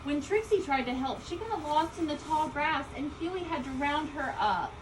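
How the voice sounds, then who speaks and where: Woman, children's storybook read-aloud, high voice, expressive emphasis, distant mic with loud background noise. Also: normal speed. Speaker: storybook reader.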